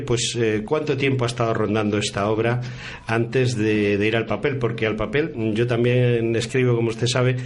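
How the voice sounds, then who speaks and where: A man with a Spanish accent from Spain hosting a radio interview in a long-winded, halting, conversational way. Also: mid-range voice, a little hiss or hum. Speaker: radio interviewer presenting books.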